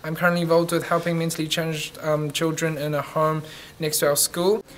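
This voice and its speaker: An upbeat young man tells of his volunteering, pausing on frequent ums. His voice sits fairly high, with a faint hiss behind it. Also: flat delivery, fairly bright tone. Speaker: student. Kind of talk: short testimonial snippets.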